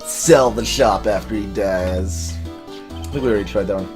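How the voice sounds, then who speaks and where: A woman's voice: extremely low pitch, normal speed, music in the background. Woman giving casual game commentary. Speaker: let's play streamer.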